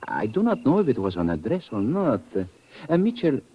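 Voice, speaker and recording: An actor playing a formal, deliberate Latin American police lieutenant with a Spanish accent in an old-time radio drama. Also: male voice, medium pitch, wildly swooping pitch, breathy edge. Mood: measured. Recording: dull vintage radio recording.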